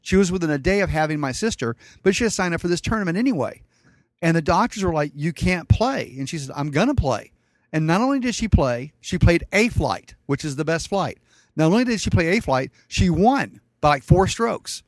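Middle-aged man, blunt, wry, slightly high voice, dry sound. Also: expressive, brisk, no room sound. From Colombia. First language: Spanish. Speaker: guest. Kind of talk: casual storytelling.

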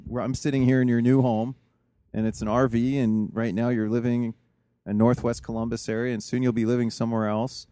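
A man's voice: medium pitch, a little mellow, clean tone, dry recording. A man hosting a casual interview, asking rambling, hesitant questions full of fillers. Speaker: podcast host.

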